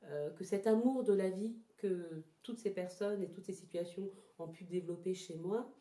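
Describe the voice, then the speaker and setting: A woman speaks in a very soft-toned, dark and relaxed voice that sits slightly low. She answers an interviewer reflectively, often pausing and repeating words as she looks for the right phrase. The recording is roomy, with audible echo. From born in Togo, lived in Ghana, moved to France as a child. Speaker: interview guest, traditional therapy practitioner. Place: indoors in a house. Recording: roomy, echoing indoor sound.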